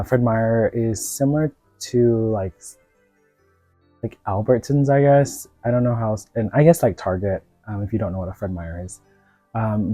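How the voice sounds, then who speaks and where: A man's voice: fairly low pitch, dark tone, no room sound. A man from the United States with a cold, chatting casually as a doll reviewer in a rambling way, full of filler words. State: sick with a cold. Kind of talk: casual vlog chat.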